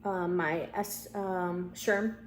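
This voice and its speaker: A woman's voice: fairly low pitch, steady tone, extremely slow. Hesitant woman in an echoey room. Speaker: job candidate. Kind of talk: conversational phone job interview. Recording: echoey room, faint background noise.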